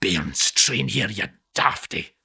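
Sneering Scottish terrace fan, crude and scheming, husky bright edge, put on by a male narrator. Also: fairly high pitch, expressive, normal speed, pitched above the performer's own voice, heavily acted. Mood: scolding. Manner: sneering, mocking taunts.

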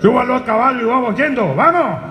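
An actor playing a cruel, mocking military officer, commanding and taunting in live radio drama. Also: male voice, very high voice, lively intonation, fast talker. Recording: echoey stage sound, music behind.

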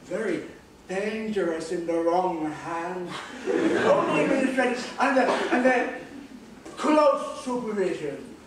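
An actor playing an over-polite, evasive doctor in an amateur stage comedy, halting and repeating words, recorded from far off in an echoey theatre. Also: medium pitch, lively intonation, noisy background. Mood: nervous, affable.

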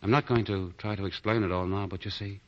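Low-voiced romantic leading man, acted, old radio. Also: fairly even intonation, fast, natural voice. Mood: wistful, evasive. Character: romantic, doomed gentleman lover hiding sorrow. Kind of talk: 1940s radio acting. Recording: muffled 1940 radio broadcast, faint music.

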